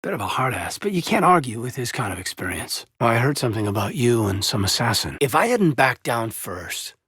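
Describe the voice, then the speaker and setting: A man playing a loyal, earnest soldier in a video game, with a husky voice and a measured, sincere delivery that has hesitant pauses. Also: mid-range voice, sing-song, fairly bright tone, no room sound, clean studio sound, full character voice. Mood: measured, subdued. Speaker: video game character, military officer. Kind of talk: cinematic video game dialogue acting.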